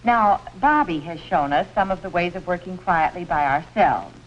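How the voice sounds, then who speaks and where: A woman's voice: on the low side, lively intonation, moderate pace, dull audio, close to an everyday voice. A woman playing a kindly, orderly primary school teacher in a 1950s educational film, patiently instructing young children. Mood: encouraging, instructive. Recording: noisy, band-limited 1950s film soundtrack.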